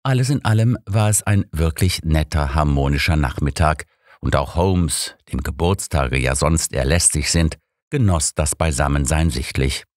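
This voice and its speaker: Man, deep voice, story narration, audiobook reading, very dry recording. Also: normal speed, dry recording. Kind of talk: scripted first-person story narration.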